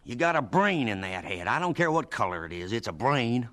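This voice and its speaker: A gruff, kindly farm employer in a radio play. He talks bluntly and persuasively in folksy country phrases, his middle-pitched voice swinging with animation. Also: sing-song, fairly quick, a bit bright. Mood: earnest, confiding. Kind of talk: radio drama acting.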